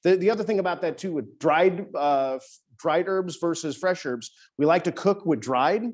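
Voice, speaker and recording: A man with a somewhat high voice runs a cooking demo as executive chef. He talks in a loose, chatty way and often stops to restart a phrase. He is speaking in a teaching kitchen. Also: normal speed. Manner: casual, chatty, many restarts. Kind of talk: cooking demonstration.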